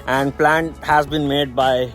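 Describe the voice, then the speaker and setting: A man's voice: medium pitch, little pitch movement, takes it slowly, soft background music. A man with a Pakistani accent chatting casually for a vlog and prompting the people around him. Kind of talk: casual vlog introduction and chat.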